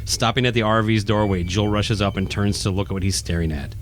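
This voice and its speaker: A man's voice: on the low side, fairly even intonation, fairly quick, a little hiss or hum. A man reading scripted narration for a horror radio play. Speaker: narrator, podcast host. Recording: music playing behind the voice.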